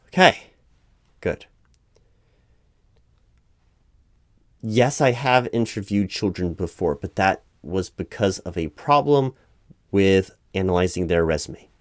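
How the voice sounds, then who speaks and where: A man playing an earnest, easily flustered corporate recruiting manager in a one-sided ASMR roleplay, speaking aloud in a halting, officious, repetitive way. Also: fairly low pitch, dry recording, clean studio sound. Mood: patient, explaining. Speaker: job interviewer.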